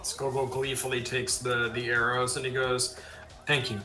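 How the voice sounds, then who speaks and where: A man's voice: medium pitch, little pitch movement, normal speed. Guy player in a fantasy rpg, casual chat, echoey room, music behind him. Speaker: player in a tabletop game. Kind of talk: casual in-character and descriptive game chat.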